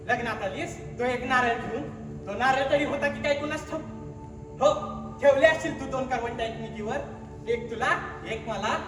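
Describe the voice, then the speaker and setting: A man on stage playing a bitter, greedy village husband who berates and mocks his wife. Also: extremely high pitch. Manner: harsh, mocking, berating. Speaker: stage actor. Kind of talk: stage acting in a one-act play. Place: theatre stage. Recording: echoey hall, far mic, music.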